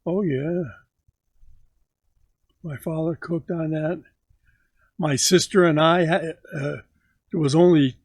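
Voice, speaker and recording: Elderly man in his 90s, slow and halting, slightly high voice, dry close sound. Grandfather recounting memories. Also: husky, no room sound. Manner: slow, halting recollection with many pauses. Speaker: grandfather telling stories. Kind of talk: casual family interview.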